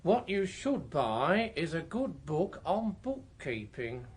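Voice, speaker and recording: A man's voice: a little high, sing-song, takes it slowly, breathy edge, full character voice. An actor with an English accent playing a dour, fussy, practical Edwardian man in a radio drama, plodding and disapproving. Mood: dry, practical.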